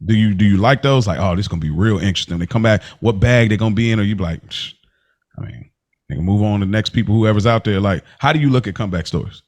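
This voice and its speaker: A man's voice: on the low side, fast, darkish tone. An American man asking probing questions in a casual podcast chat, colloquial and often rephrasing as he goes.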